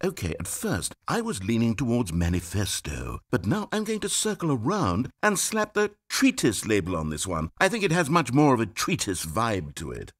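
An actor playing a pompous, self-absorbed game narrator who rambles in a grand, wordy way. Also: male voice, mid-range voice, huge pitch swings, normal speed, hardly any pauses, breathy edge, exaggerated voice. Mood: musing. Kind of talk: scripted video game narration. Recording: dry studio voice-over.

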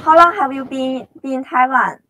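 A young woman from China, a native Mandarin speaker, chatting in careful, halting English and searching for words. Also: sits high, bright, forceful tone, a little hiss or hum, rough recording. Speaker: video chat stranger. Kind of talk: casual chat. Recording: music playing under voice.

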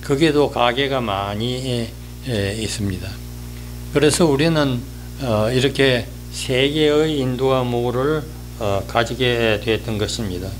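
An elderly man in his eighties giving a reminiscing monologue, speaking haltingly with frequent drawn-out hesitations. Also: mid-range voice, faint music behind. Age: 80s. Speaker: newspaper-style columnist giving a talk. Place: indoors, small office or studio, seated.

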